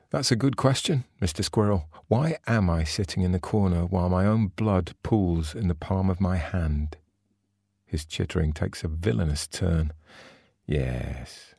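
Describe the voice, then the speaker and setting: Deep, gravelly male podcast co-host. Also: darkish tone, dry recording, studio quality. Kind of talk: casual chat, then reading a short story aloud.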